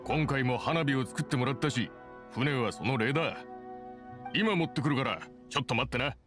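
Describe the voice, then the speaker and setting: A man's voice: mid-range voice, normal speed, natural voice. An actor playing a loyal, easygoing boatman in an anime game, casual and plain-spoken. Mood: friendly, easygoing. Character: easygoing loyal boatman, friendly adult man. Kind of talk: anime video game voice acting. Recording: music behind the voice.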